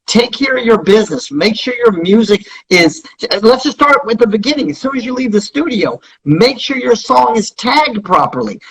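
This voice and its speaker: A man's voice: very high-pitched, lively intonation, normal speed, few pauses, a bit bright, breathy edge, rough recording. A man speaking emphatically as an interview guest, repeating key phrases to promote his business.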